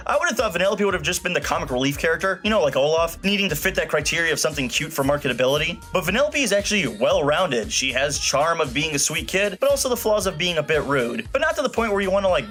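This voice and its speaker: A man with a slightly high voice delivers scripted comedic film commentary. He is blunt, snarky and emphatic. Faint music plays behind him. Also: fairly bright tone. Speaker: video reviewer.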